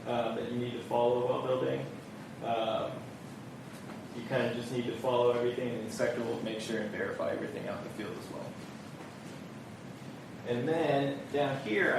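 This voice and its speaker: A man on permit staff walking an audience through building forms, in a casual manner that leans on "kind of". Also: mid-range voice. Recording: echoey room, heard from far off, background noise.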